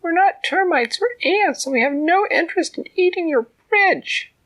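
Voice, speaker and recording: A man voicing a tiny, brave female ant who speaks up loudly and politely in a very high squeaky voice. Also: animated, moderate pace, low-quality recording. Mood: pleading. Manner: loud, shouting to be heard. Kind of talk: bedtime storytelling.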